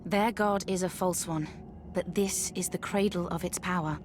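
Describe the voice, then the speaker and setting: An actress playing a pompous, solemn eldest daughter, an immortal guardian of justice in a video game. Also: female voice, on the low side, steady tone, moderate pace, noisy background, lightly acted. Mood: stern. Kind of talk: video game character acting.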